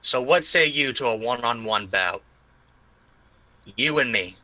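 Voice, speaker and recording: Defiant half-orc hero for tabletop play, male player, formal and challenging, very sharp tone, close dry sound. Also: mid-range voice, animated, unhurried, no echo, poor audio. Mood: cool challenge. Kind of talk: tabletop roleplay dialogue.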